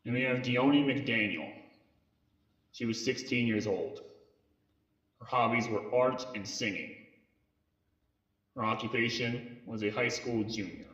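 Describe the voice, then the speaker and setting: A man's voice: medium pitch, a bit bright. Muffled, echoey male case-file narrator. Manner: halting read-aloud delivery with stumbles over words. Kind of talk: scripted narration, mock police briefing tape in an analog horror story. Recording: muffled lo-fi tape sound, roomy echo.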